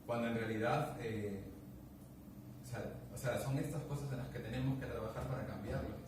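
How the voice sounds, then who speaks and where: A man's voice: mid-range voice, moderate pace. A man in casual conversation, telling anecdotes and acting out the dialogue, with many restarts. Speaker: guest speaker. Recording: echoey room, distant mic, background noise.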